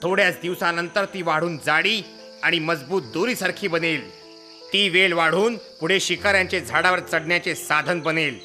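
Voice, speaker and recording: A man voicing a cautious, commanding parrot leader in an animated children's story. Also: fairly high pitch, normal speed, faint music behind. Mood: earnest warning.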